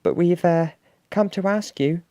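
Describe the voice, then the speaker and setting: A narrator voicing a small, polite, squeaky animal in a storybook. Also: measured pace, mellow, lightly acted. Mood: timid.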